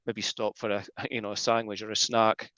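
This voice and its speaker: A man's voice: fairly low pitch, little pitch movement, a little fast, bright, forceful tone, dry recording. A Scottish man chatting at length on a video call as a guest radio amateur, often restarting his sentences. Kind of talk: casual video call chat. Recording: dull, dry video call audio.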